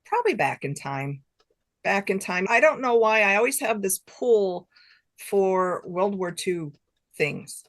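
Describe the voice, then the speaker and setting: Older lady guest author just chatting away, super enthusiastic, medium pitch. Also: animated, measured pace. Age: middle-aged or older, has grandchildren. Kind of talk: casual interview chat.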